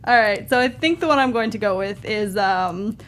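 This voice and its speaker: A woman's voice: medium pitch, animated, moderate pace, fairly bright tone, a little hiss or hum. A woman co-hosting a show in casual movie chat. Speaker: show co-host.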